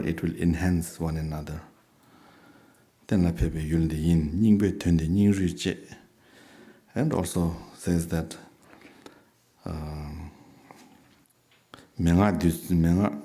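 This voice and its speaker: Deep, mellow-voiced man teaching gently. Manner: unhurried, halting, gently humorous. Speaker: Buddhist teacher. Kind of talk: dharma talk with storytelling.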